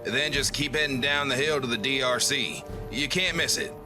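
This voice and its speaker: Male game NPC, actor as amiable broke wanderer, average pitch, talkative, wry delivery over music. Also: normal speed, natural voice. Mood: friendly, businesslike. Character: amiable down-on-his-luck male traveler offering paid courier work. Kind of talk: video game dialogue acting.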